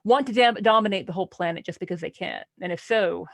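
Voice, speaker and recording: A podcast panelist with a creaky voice and a close, dry sound, talking casually about a book. The delivery is animated and incredulous, circling back through questions and restarts. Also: mid-range voice, fast, dry recording.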